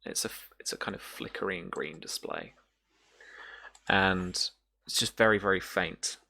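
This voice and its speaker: Deep-voiced man narrating a tabletop horror game. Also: vocal fry, bright, forceful tone, dry recording. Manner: halting, repeats words while describing scenes. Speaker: game master.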